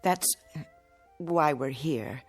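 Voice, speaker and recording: Anxious low-voiced mother. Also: extremely slow, faint music behind, exaggerated voice. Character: anxious, polite, appeasing mother. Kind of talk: radio drama acting.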